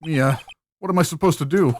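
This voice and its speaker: A man's voice: fairly high pitch, brisk, a little mellow, slight background noise, lightly acted. A man voicing an earnest, anxious young defense lawyer in a fan voice-over of a visual novel game, hesitant and questioning. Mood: pleading, puzzled.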